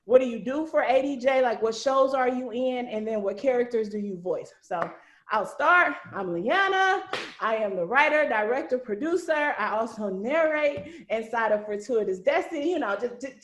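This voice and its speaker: A woman's voice: fairly high pitch, a bit bright. A woman hosting a casual group video call in a chatty, informal way. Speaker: host of cast meetup, writer-director. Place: indoor room on a video call. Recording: roomy, echoing video call.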